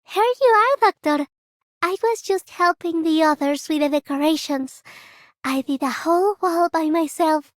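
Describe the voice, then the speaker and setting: Sweet, very high-voiced young game girl. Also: moderate pace, no echo, clean studio sound. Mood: cheerful, proud. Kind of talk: scripted game character lines.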